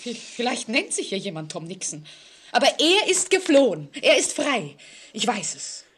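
An actress playing a frightened, insistent woman in a vintage German radio play, speaking in a hesitant, halting way. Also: a little high, big pitch swings, a bit bright, slightly rough, full character voice. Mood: agitated, insistent. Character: frightened, haunted young New York woman, insistent.

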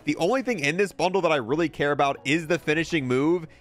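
A man's voice: a little high, fast talker, fairly bright tone. A man giving casual, chatty and opinionated review commentary over video game footage, with playful exclamations.

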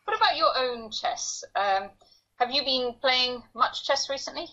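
A woman's voice: high-pitched, lively intonation, unhurried, pushed and bright. A woman in her forties hosting an interview over a video call from home, asking short encouraging follow-up questions. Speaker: interviewer, co-host. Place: home room, indoors. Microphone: laptop webcam on a video call. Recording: dull lo-fi video call audio.

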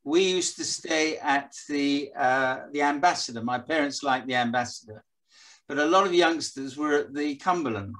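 A man's voice: on the high side, moderate pace, fairly bright tone. An older man in the audience recalling stories with amusement on a video call. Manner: anecdotal, amused. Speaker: audience member.

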